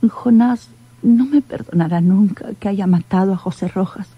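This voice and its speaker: A woman's voice: medium pitch, normal speed, slightly rough, lo-fi sound, lightly acted. An actress playing a frail, frightened bedridden woman in a Mexican radio drama, with a Mexican accent. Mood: fearful, weak.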